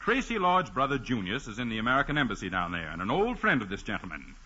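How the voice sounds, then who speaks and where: A pompous magazine tycoon in a 1940s radio comedy. He declaims with wide swoops of pitch and a piercingly bright, forceful tone. The old recording is dulled. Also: huge pitch swings, quick pace, lo-fi sound, heavily acted. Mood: businesslike. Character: pompous, bombastic male magazine publisher, bossy and grandiose.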